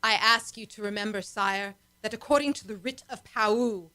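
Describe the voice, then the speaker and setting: A woman playing a bold high priestess in a space radio serial, formal and commanding. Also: moderate pace, bright, forceful tone, lightly acted. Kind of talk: old-time radio serial acting.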